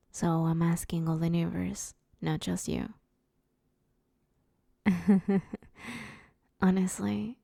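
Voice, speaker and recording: Woman doing a broke lady supervillain next door, relaxed creaky voice, awkward n rambly, friendly, audio roleplay. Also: lowish voice, no echo, clean studio sound, full character voice. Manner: rambling, hesitant, sheepishly pleading, casual. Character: awkward, broke, friendly female supervillain neighbor asking favors. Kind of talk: roleplay audio drama, casual conversational monologue.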